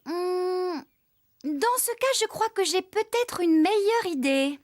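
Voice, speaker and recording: A woman playing a kindly, caring young farmer in a children's cartoon, with a gentle, encouraging and expressive manner. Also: very high voice, slow pace, dry recording, clean studio sound, full character voice. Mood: playful.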